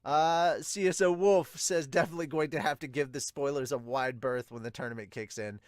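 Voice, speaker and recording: A man in a dry, close recording gives casual, excitable commentary as a podcast host. His voice sits at a middling pitch, and he moves between reading aloud and reacting in exclamations. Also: fairly quick, no room sound.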